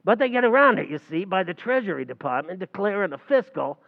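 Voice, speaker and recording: A brash New bartender lectures like a know-it-all and keeps mangling his big words, as a man plays him. His voice runs high and bounces all over, with a gravelly rasp. The sound is dull and lo-fi, like an old-time radio comedy. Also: sing-song, fast, exaggerated voice. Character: fast-talking, know-it-all New York bartender Archie.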